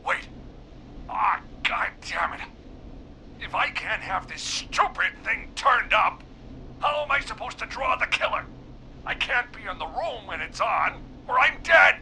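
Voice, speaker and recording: Piercingly bright, fussy, panicky newspaper editor. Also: male voice, very high-pitched, lively intonation, measured pace, vocal fry, slight background noise, heavily acted, rough recording. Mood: frantic, exasperated. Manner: fussy, agitated, blustering. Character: excitable, nervous male newspaper editor hiding from a killer. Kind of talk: video game voice acting.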